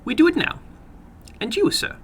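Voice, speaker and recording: A man voicing an eager, animated little foreign gambler in halting, broken English for a dramatic horror story reading. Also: a little hiss or hum, lightly acted. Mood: eager, brisk.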